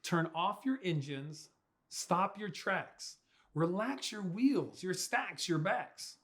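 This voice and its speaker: A young man reads a picture book to children. His voice sits high for a man and swings widely in pitch. He takes his time, stretching words out in a playful, expressive style. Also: slow pace. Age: young adult. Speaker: pastor reading to children. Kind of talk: animated children's storybook read-aloud. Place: small furnished room indoors.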